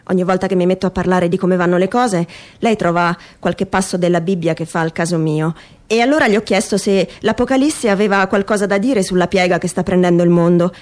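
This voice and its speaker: A woman's voice: on the low side, a little mellow, natural voice. A woman performing a theatrical monologue as a world-weary old male sheriff, reflective and plain-spoken.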